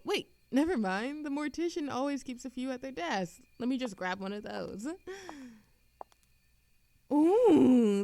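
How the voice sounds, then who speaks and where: Woman voicing an ageless immortal flirt, audio roleplay, teasing, theatrical, swooping pitch, unhurried, coy. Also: creaky, dark tone, slight background noise. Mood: chatty, amused. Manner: theatrical, coy, teasing, with frequent giggles and pauses. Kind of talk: scripted audio roleplay addressed to an unheard listener.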